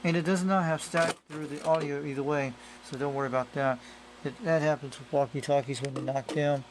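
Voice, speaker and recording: A man with a slightly high voice talks through a hands-on gadget demo. He explains casually and rambles, often circling back over the same point. It is an amateur camera recording with faint noise behind him. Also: fairly even intonation, a little fast. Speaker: radio hobbyist presenter. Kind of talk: casual hands-on product demonstration. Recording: noisy amateur camera audio.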